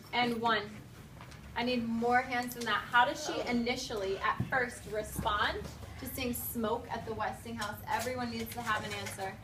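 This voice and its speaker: A woman's voice: a little high, distant mic. Directive woman teacher in a noisy classroom. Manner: directive, clipped commands alternating with expressive reading. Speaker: teacher leading a class read-aloud. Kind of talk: classroom instruction with read-aloud. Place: school classroom with pupils.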